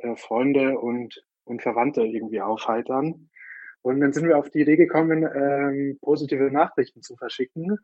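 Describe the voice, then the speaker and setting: A man's voice: medium pitch, darkish tone, no room sound. Hesitant man on a dull, dry recording. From Munich, Germany. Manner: hesitant, halting, searching for words. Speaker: interview guest, newsletter co-founder. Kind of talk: informal interview answers. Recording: dry, slightly dull lo-fi sound.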